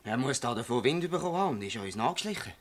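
An actor playing a sheepish, evasive village man in a radio drama, halting and defensive, with a Swiss German accent. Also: mid-range voice, lively intonation, lightly acted.

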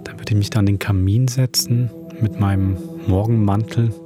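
A man's voice: fairly low pitch, steady tone, normal speed. A man hosting and introducing a podcast, with music behind his voice. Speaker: podcast host and producer.

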